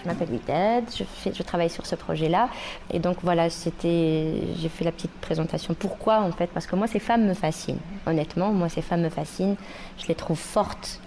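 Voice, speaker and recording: A woman from Morocco speaks earnestly, her lowish voice often halting on fillers as she talks through a documentary interview. Music plays clearly in the background. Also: a little mellow. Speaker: singer and rapper.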